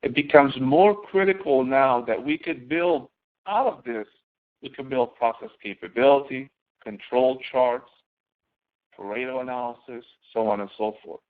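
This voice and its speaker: A man giving an instructional walkthrough as a course instructor, explaining step by step and posing questions that he then answers, in a gravelly voice. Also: medium pitch, normal speed. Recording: narrow, phone-like audio.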